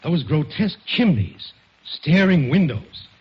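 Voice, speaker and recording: An actor with an American accent playing a spooked, needling suburban neighbor in a theatrical 1940s radio comedy style. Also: male voice, on the high side, very animated, unhurried, breathy edge, exaggerated voice. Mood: uneasy. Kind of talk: 1940s radio sitcom acting. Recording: muffled 1940s radio recording.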